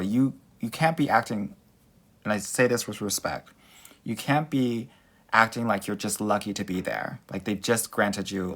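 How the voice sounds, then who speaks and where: A young man with a slightly low voice runs a live dating Q&A, reading viewer comments into his phone. He talks with sass and energy and calls his listeners girl and honey. He comes from Southern California. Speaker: dating coach.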